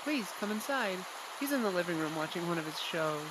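A woman's voice: on the low side, lively intonation, fairly quick, noisy background, natural voice. A woman playing a polite, hospitable hostess in an audio drama. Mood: welcoming.

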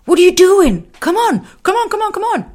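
A woman voicing a tiny, excitable female lion creature in a storybook, squealing and bouncy. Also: very high-pitched, fairly quick, pitched above the performer's own voice. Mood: excited.

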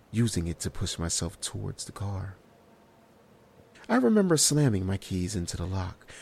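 An actor playing a shaken male survivor, telling his tale in a haunted, measured way in a horror audio drama. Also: low-pitched, creaky, darkish tone, slightly rough, exaggerated voice. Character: shaken male survivor recounting a harrowing escape.

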